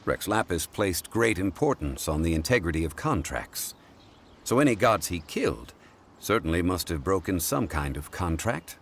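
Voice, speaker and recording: An actor playing a calm, learned man of measured wisdom in a video game, speaking in a courteous, unhurried way. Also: low-pitched, creaky, a little hiss or hum, exaggerated voice. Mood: composed. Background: game music.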